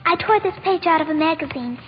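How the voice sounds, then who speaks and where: A muffled old radio drama with faint hiss features a little girl. She is very high-pitched, earnest and skeptical, speaking in a matter-of-fact childish way. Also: fast. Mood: earnest, hopeful. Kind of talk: mid-century American radio drama acting. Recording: dull vintage radio recording, faint noise.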